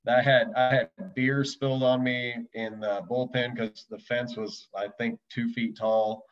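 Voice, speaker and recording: A man's voice: medium pitch, little pitch movement, unhurried. A man reminiscing casually as an interview guest in a conversational way. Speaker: interview guest, former relief pitcher. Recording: dull lo-fi audio, distant from mic.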